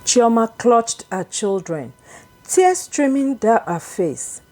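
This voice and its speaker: Slow, high-voiced woman storyteller. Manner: slow, deliberate, expressive storytelling. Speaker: storyteller, narrator. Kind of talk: dramatic scripted folktale narration.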